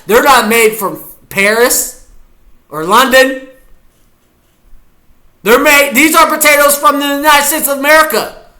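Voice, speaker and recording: A man's voice: extremely high pitch, bright, forceful tone, rough recording. A man chatting goofily to viewers while he reacts to a football game live. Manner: chatty, goofy, talks to viewers. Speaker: streamer watching a football game. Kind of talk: casual live reaction chat.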